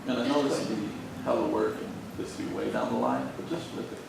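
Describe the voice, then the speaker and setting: A man's voice: lowish voice, wildly swooping pitch, quick pace. A man speaking in a conversational, opinionated way in an informal group discussion, recorded in a large room on a distant microphone. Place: large indoor arts-center room. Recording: echoey hall, distant mic, clear background noise.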